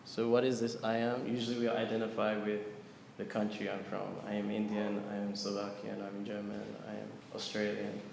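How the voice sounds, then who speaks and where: A man's voice: on the low side, completely flat delivery, fairly quick, gravelly, noisy background. Man giving a scripture class in an echoey hall. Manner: earnest teaching, asks audience questions. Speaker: lecturer on scripture. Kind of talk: devotional class with chanting. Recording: echoey hall with long reverb, faint ambience.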